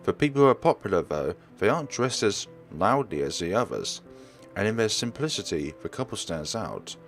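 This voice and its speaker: Male let's player, lower voice, animated narration of a horror visual novel, occasional self-corrections, music underneath. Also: moderate pace. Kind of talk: narrated reading with asides.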